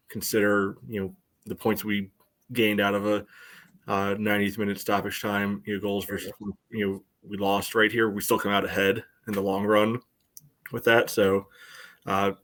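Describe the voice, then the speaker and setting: Slightly low-voiced man chatting on sports podcast. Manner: hesitant phrasing peppered with "you know". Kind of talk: casual sports chat.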